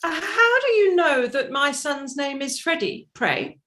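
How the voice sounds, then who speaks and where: A woman's voice: high voice, big pitch swings, measured pace, a bit bright, a little hiss or hum, heavily acted. A woman playing a genteel middle-aged mother in a staged play reading, prim, formal and politely commanding. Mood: brisk, imperious.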